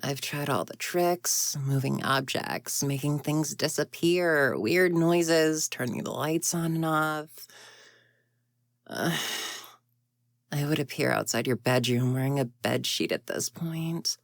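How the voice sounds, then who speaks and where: A woman's voice: low-pitched, takes it slowly, creaky, no room sound, clean studio sound, full character voice. A woman playing an exasperated ghost girl in an audio roleplay, huffy and indignant, often muttering to herself. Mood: grumbling, frustrated. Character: grumpy, exasperated tsundere ghost girl haunting a house.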